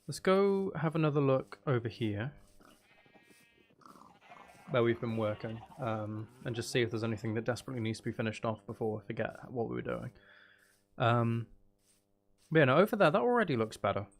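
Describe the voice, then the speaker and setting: A man's voice: mid-range voice, lively intonation, brisk, long pauses. A man casually chatting on a live game stream, musing aloud and talking to viewers as he plays. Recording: faint background noise.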